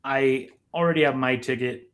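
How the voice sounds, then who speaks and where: Measured man in casual podcast chat. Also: mid-range voice, fairly even intonation, fairly bright tone. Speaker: podcast co-host.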